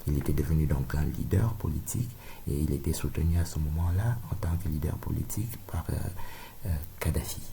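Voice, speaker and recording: A man's voice: a bass voice, gravelly, warm tone, slight background noise. A middle-aged man from Cameroon, giving a rambling, insistent monologue full of tag questions. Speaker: video lecturer.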